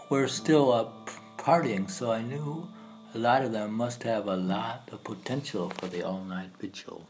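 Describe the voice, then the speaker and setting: A man reading his own poems aloud in a halting, meditative way, with informal asides. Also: medium pitch.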